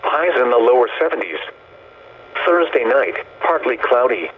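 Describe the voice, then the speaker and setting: A synthetic male announcer voice reads a scripted weather bulletin over the radio in measured, impersonal, segmented phrases. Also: mid-range voice, big pitch swings, slightly rough. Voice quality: synthetic text-to-speech voice. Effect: weather radio broadcast. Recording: tinny narrowband radio, static hiss.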